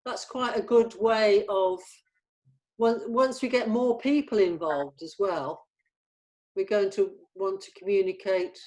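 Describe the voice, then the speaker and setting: A woman's voice: medium pitch, takes it slowly, darkish tone, rough recording. A woman talking informally and a little hesitantly as an organiser in a production meeting. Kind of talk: casual production meeting talk.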